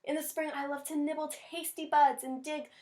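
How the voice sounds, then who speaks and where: A woman voicing a small, gentle, agreeable mouse from a children's picture book, in a sweet manner. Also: high-pitched, little pitch movement, normal speed, voice pushed up, close to an everyday voice. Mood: content.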